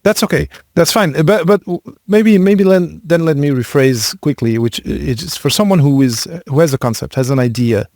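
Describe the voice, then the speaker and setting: A man's voice: on the high side, normal speed, few pauses, darkish tone, dry recording. Rambling male podcast interviewer, dry sound. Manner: searching for words, rephrasing, many fillers. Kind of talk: conversational interview questions.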